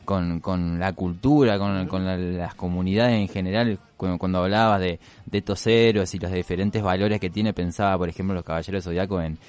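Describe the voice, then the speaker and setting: A man's voice: low-pitched, fairly even intonation, moderate pace, hardly any pauses, a little mellow. An Argentine man hosting a casual radio chat, informal and attentive in the way he talks. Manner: informal, attentive, frequent short backchannels. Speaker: radio host.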